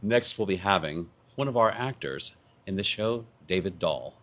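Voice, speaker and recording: A man's voice: sits low, lively intonation, moderate pace, sharp tone. A man being interviewed as a community theatre performer, answering in a thoughtful, casual way. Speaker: community theatre actor and narrator, interview guest.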